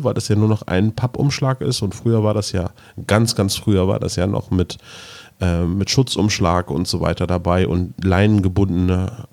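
Low-voiced man in casual podcast chat. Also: darkish tone. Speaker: podcast host.

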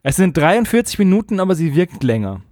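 A man's voice: high voice, big pitch swings, brisk, a little mellow, dry recording. A man in casual chat as a podcast co-host, with a dry, wry humour.

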